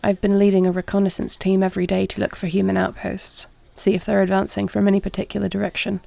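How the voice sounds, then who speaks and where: A woman playing a duty-bound warrior queen and tender wife in an intimate audio roleplay, speaking in a measured, earnest, formal way. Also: mid-range voice, monotone, gravelly, mellow, no echo. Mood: grave, measured. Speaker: voice actor.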